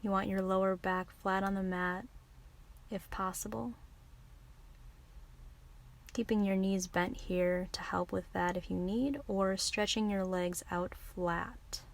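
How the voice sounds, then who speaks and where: Woman yoga teacher doing guided meditation, really slow n soothing, medium pitch. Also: fairly even intonation, creaky. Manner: soothing, unhurried, gently instructing.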